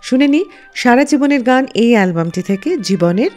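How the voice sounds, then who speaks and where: Expressive woman radio host, lively high voice. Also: moderate pace, soft background music. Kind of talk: music radio presenting with anecdotes.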